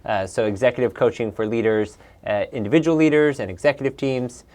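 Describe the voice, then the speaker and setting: A man's voice: medium pitch, fairly quick, a little mellow. Upbeat man sharing his work story. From Peru and Bolivia. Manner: upbeat, enthusiastic, with frequent restarts. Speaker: guest, executive coach. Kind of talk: casual interview.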